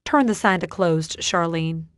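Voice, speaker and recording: A woman's voice: fairly low pitch, unhurried, no room sound, studio quality, close to an everyday voice. A woman doing a blunt, commanding library boss. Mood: stern. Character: stern no-nonsense woman library head.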